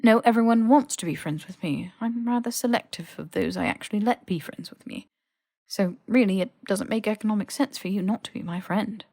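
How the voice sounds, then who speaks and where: A woman's voice: medium pitch, a little fast, darkish tone, dry recording, studio quality, lightly acted. A woman voicing a stubborn, teasing young male wizard in his twenties, casual and cheeky, for an audiobook. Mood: cocky. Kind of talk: audiobook character voice.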